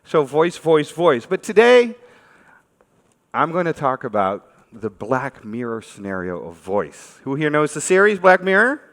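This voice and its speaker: A man's voice: a little high, big pitch swings, unhurried, fairly bright tone. A man from the Netherlands with a Dutch accent gives an informal conference talk, speaking conversationally and putting questions to his listeners. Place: large conference hall. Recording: reverberant hall stage recording.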